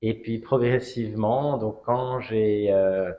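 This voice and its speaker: A man in his forties from Strasbourg, France, telling his story as an interview guest in a measured, hesitant way with frequent pauses. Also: lowish voice, fairly even intonation, warm tone. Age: 40s. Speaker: interview guest, cigar brand ambassador. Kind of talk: conversational interview, personal story.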